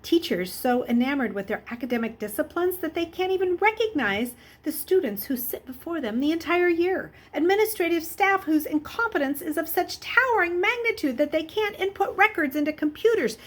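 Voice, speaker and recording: A woman's voice: extremely high pitch, voice pushed up. A woman voicing a wealthy older male schoolmaster in a storybook, with formal, rhetorical phrasing and a bitter, wry temper. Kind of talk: children's novel read aloud.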